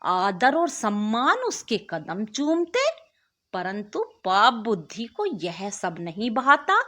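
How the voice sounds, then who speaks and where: A woman narrating a scripted moral bedtime story as a channel host, with an expressive, deliberate storytelling delivery. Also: mid-range voice.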